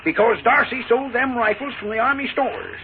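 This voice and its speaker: An actor playing a stern, protective older riverboat captain, commanding, in a 1940s radio western. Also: male voice, extremely high pitch, animated, normal speed. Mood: stern, concerned. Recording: tinny, noisy 1940s radio recording.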